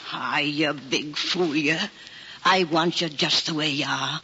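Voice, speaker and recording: An actress playing a feisty, devoted American wife, mock-stern and affectionate, in an old screen comedy-drama. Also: female voice, low-pitched, steady tone, takes it slowly, pushed and bright, breathy edge, in a large hall, full character voice. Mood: mock-stern, loving. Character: feisty, devoted wife scolding her husband fondly. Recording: muffled old film soundtrack.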